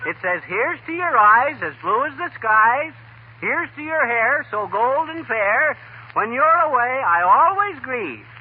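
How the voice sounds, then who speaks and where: An actor playing a boastful, mischievous, stubbornly frank husband with a folksy, cocky manner in 1940s radio comedy. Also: male voice, very high-pitched, very animated, a little slow, breathy edge, exaggerated voice. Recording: tinny, hissy 1940 radio broadcast.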